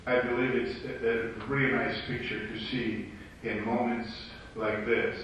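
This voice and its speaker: A man's voice: fairly low pitch, little pitch movement, a little slow, fairly bright tone, slight background noise. A man with a Romanian accent, a native Romanian speaker, sharing his thoughts hesitantly in a group Bible study, recorded far off in an echoey room. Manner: hesitant, searching for English words. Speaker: participant.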